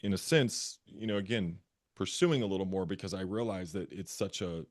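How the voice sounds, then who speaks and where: A man with a bass voice, a therapist and guest, earnestly telling his own story and often repeating phrases as he searches for words. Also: normal speed. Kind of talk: candid personal storytelling.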